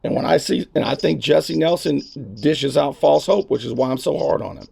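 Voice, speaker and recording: Male talk host off the air, heavy vocal fry, slightly high pitch, casual, earnest, halting delivery. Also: a little mellow. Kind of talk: casual candid phone conversation.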